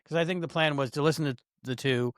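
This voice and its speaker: A man's voice: a little high, fast talker, dry recording, studio quality. A man co-hosting a podcast, chatting casually with a playful manner. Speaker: podcast co-host. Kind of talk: casual chat.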